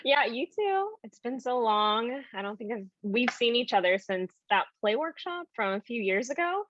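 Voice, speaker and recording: A woman's voice: fairly high pitch, sing-song, moderate pace, a bit bright. A woman playing a polite, eager actress auditioning in an online play, agreeable and natural in delivery. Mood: friendly, earnest. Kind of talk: naturalistic dialogue in an online one-act play. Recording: dull, boxy video-call audio.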